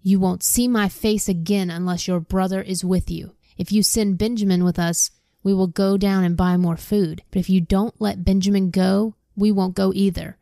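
A woman's voice: mid-range voice, fairly even intonation, moderate pace, creaky, dark tone, dry recording, clean studio sound, natural voice. A woman reading the part of an earnest grown son who insists to his father.

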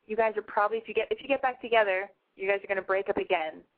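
Fast-talking frank radio advice host, woman. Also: mid-range voice. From Los Angeles. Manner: frank, gushing, talks fast. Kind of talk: casual call-in advice. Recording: tinny phone-line sound.

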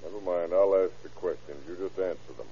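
A man's voice: sits low, fairly quick, dark tone, husky. An actor playing a tough male police investigator, questioning in a clipped, authoritative way in an old radio crime drama. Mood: stern. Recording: muffled vintage radio show recording.

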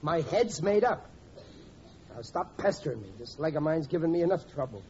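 An actor playing a stubborn, indignant middle-aged American husband and father, with rough working-class grammar, in a 1940s radio comedy. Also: on the high side, lively intonation, moderate pace, dark tone, close to an everyday voice. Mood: angry. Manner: ungrammatical, indignant, blustery. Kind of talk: 1940s radio sitcom acting. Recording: muffled, noisy old radio recording.

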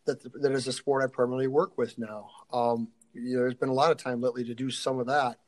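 A middle-aged man, a strength coach being interviewed, talking casually and haltingly, often thinking aloud and trailing off. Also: mid-range voice, fairly even intonation, a little mellow.

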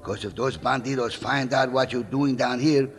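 Pancho, a man acting the excitable sidekick. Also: medium pitch, normal speed, lightly acted. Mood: worried. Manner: broken English, calls himself by name. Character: excitable loyal Mexican sidekick, middle-aged man. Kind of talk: 1950s radio drama acting. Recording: old radio recording with crowd noise.

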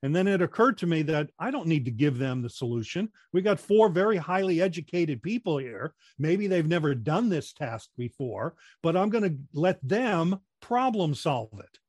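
A man's voice: on the high side, very animated, a little mellow, dry recording. Man with a projecting, dry voice. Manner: measured, explanatory, with frequent restarts. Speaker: guest, corporate trainer. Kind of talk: conversational interview.

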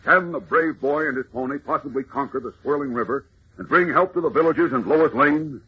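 A man's voice: a little high, fairly quick, breathy edge. A man narrating an old radio adventure serial in a dramatic, urgent, theatrical way, on a muffled, noisy recording. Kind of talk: 1940s radio scripted narration.

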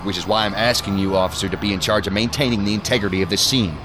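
An actor in an audio drama playing a gruff, commanding federal investigator, sardonic and stern, in charge of a disaster scene. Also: male voice, on the low side, steady tone, racing, noisy background, natural voice. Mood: weary sarcasm. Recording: loud music bed behind the voice.

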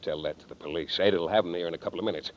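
An actor playing a menacing man holding a gun in a 1940s radio drama, gruff and sneering. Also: low-pitched, very fast, close to an everyday voice. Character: menacing gun-toting brother, Franklin. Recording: dull old radio recording with faint hiss.

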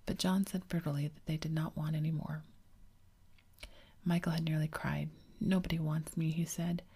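A woman's voice: low voice, steady tone, normal speed, mellow, husky. Whispering woman reading a storybook. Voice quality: whispering throughout. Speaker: narrator.

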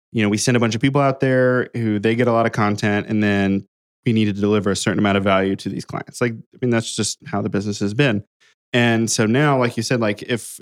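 A man's voice: on the low side, no echo, clean studio sound. A man hosting a casual workplace interview, chatty and encouraging, with lots of "you know" and "like". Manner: chatty, encouraging, many fillers. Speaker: interviewer, host.

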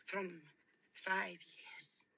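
Timid swedish missionary lady, swedish accent, broken english, bright voice. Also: mid-range voice, little pitch movement, gravelly, slight background noise, full character voice. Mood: hesitant. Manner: halting broken English, hesitant, pious.

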